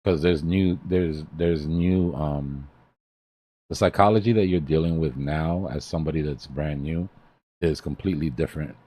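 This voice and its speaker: Man, extremely low pitch, mellow tone, blunt emphatic delivery, casual live stream host. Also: normal speed. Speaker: trading educator hosting a live stream. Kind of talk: casual mentoring chat.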